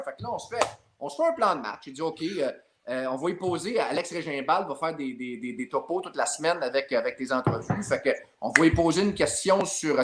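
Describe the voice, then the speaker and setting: Bright animated Québécois man telling a story. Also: on the high side, a little hiss or hum. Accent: Québécois French. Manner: lively, builds up his story with repeated tags. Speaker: sports journalist guest. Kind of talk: animated storytelling in a podcast chat.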